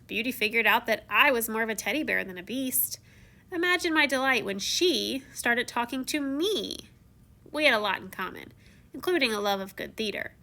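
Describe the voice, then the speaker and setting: A woman's voice: fairly high pitch, sharp tone. A woman reading a storybook in the first person as a theatrical, confiding, self-mocking young prince who became a beast. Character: theatrical, once-shy young prince turned beast, telling his tale.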